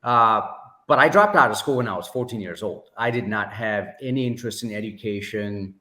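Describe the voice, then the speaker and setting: A man, telling his story as a podcast guest in a measured way, pausing often with fillers. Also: on the low side, sharp tone. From Sri Lanka. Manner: measured, many pauses and fillers. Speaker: podcast guest, business owner. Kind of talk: casual interview storytelling. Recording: echoey room.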